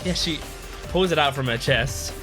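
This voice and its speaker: A man's voice: a little high, normal speed, music in the background. A man giving casual, informal reaction commentary as a host, rambling and swearing freely.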